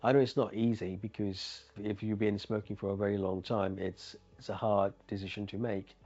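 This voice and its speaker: A man's voice: lowish voice, little pitch movement, moderate pace, a little mellow. A man telling his own story as a former smoker in a plain, earnest, conversational way. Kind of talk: personal testimonial. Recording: muffled with faint background music. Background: faint music.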